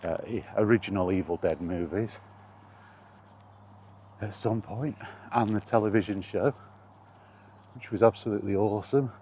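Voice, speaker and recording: A man's voice: lowish voice, normal speed, pauses often, mellow, a little hiss or hum. A British man giving a rambling, casual vlog chat in a blunt, opinionated manner. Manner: opinionated, blunt, mildly sweary. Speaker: video blogger.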